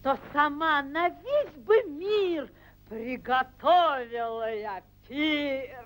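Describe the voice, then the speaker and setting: An actress playing a stout, boastful peasant maiden, declaiming verse in a drawn-out singsong in a 1940s animated film. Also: female voice, sits high, full character voice. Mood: dreamy, boastful. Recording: old 1940s film soundtrack with music.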